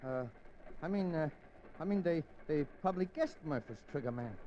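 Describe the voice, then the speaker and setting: Actor as a high-voiced, panicky gangster stool pigeon. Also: male voice, lively intonation, normal speed, dark tone, muffled recording, natural voice. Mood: nervous evasion. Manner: stammering, pleading, jittery. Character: frightened, weaselly male gangster informer pleading for himself. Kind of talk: 1950s radio crime drama acting. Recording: noisy old 1950s radio recording.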